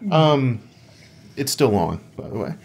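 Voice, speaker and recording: A man's voice: medium pitch, sing-song, a little slow, gravelly, husky. A man co-hosting a casual gaming podcast with a dry, tongue-in-cheek way of talking. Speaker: podcast co-host.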